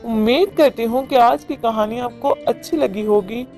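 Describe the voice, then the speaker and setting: A woman narrating a scripted moral story for children in a measured, expressive storytelling manner. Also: fairly high pitch, soft background music.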